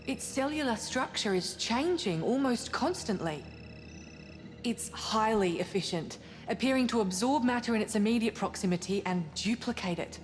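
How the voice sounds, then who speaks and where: An actress playing an earnest, suspicious scientist aboard a spaceship in a cinematic video game. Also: female voice, fairly high pitch, animated, moderate pace, natural voice. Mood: fascinated, grave. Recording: long reverberant hall, music behind.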